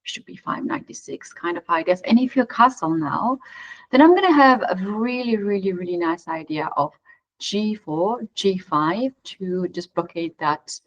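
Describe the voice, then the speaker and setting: A woman's voice: mid-range voice, animated, normal speed, highs cut off. A young woman with a Georgian accent commentating on chess, hesitant with many fillers and frequent laughs.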